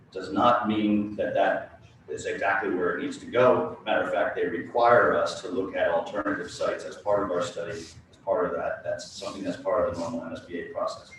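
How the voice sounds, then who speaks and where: Man, low voice, public forum presenter, measured, echoey hall, off-mic. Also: distant mic, slight background noise. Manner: measured, reads slides and fields interruptions. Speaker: school building committee presenter.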